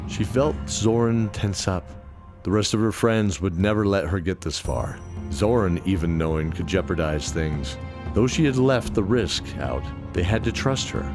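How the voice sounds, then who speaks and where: A man reading scripted fantasy audio drama narration in a measured storytelling manner. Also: low-pitched. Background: music.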